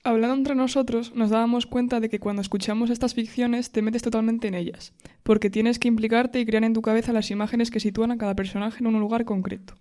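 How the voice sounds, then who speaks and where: A woman's voice: fairly high pitch, little pitch movement, fast, hardly any pauses, dark tone. A woman, a student interviewer putting prepared questions to a guest.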